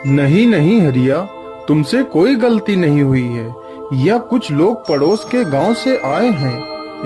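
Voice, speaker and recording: A man voicing a commanding yet gracious king in a cartoon folk tale, formal and authoritative. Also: a little high. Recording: music bed behind the voice.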